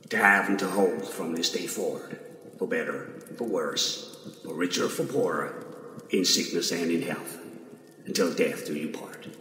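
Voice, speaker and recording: An actor playing a solemn officiant in a game, reciting in a formal, measured, ceremonial way. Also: male voice, low-pitched, bright, forceful tone, exaggerated voice. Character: solemn male officiant reciting wedding vows. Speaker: wedding officiant. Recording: very echoey hall, faint noise.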